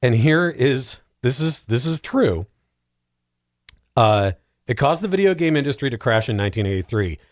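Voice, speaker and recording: A man's voice: mid-range voice, very animated, moderate pace, no echo, clean studio sound. A man speaking as a podcast guest, telling stories in a casual, comedic way with emphatic repetition.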